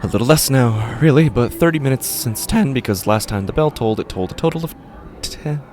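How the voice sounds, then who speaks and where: A man narrating a first-person horror story in a halting, uneasy way, often breaking off mid-sentence, over a music score. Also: medium pitch, lively intonation. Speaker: podcast narrator.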